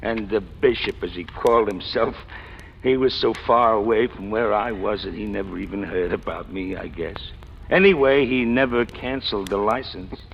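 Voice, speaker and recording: Chatty gravelly stick-up crook, low voice, slangy, muffled old radio play with faint hiss. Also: male voice, moderate pace. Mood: confiding, amused. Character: talkative, self-deprecating ex-convict stick-up man, cheerfully fatalistic. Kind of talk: 1940s-50s radio crime drama acting.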